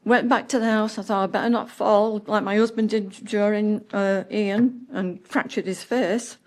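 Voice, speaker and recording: A seventy-year-old woman giving public comment at a county meeting, distraught and close to tears, halting and breaking off mid-word. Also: mid-range voice, steady tone. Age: 70s.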